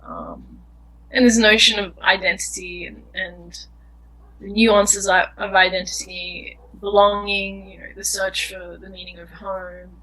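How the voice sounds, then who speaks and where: A woman's voice: mid-range voice, lively intonation, measured pace, sharp tone, poor audio. A young woman filmmaker answering interview questions in an earnest, thoughtful way, often searching for words. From Australia.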